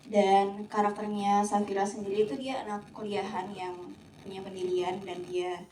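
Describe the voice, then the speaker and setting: A woman's voice: mid-range voice, flat delivery, moderate pace, warm tone. An actress, a woman explaining her part at a press conference. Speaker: actress describing her role. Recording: echoey room, distant mic, background noise.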